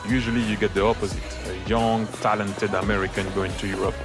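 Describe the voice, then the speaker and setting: A man's voice: lowish voice, flat delivery, fast talker, music in the background. A man giving interview-style sports commentary.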